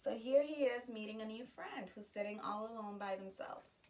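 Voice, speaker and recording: A woman reading a children's picture book aloud, pausing to explain the story to young listeners. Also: on the high side, distant mic. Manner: slow, gentle storytime delivery with explanatory asides. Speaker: children's read-aloud host.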